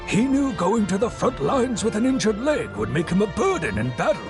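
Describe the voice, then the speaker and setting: A man's voice: high-pitched, very animated, brisk, music in the background. An actor playing an earnest, concerned young man who tells a sad story in a somber, slightly hesitant way in a video game. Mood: somber, explanatory. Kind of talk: scripted game dialogue, storytelling.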